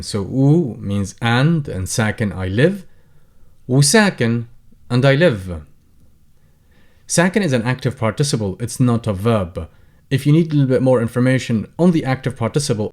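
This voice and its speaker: A man who runs a language channel. He teaches beginners, reading each phrase aloud, translating it and explaining it slowly. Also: medium pitch, a little mellow. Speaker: Arabic teacher. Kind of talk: slow explanatory tutorial.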